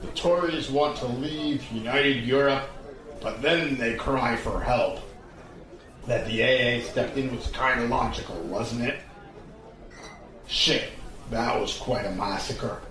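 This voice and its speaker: An actor playing a blunt, fatalistic, world-weary soldier back from duty in an audio drama. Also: male voice, mid-range voice, takes it slowly, a bit bright. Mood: weary, cynical. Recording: distant, very echoey, crowd noise behind. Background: pub crowd chatter.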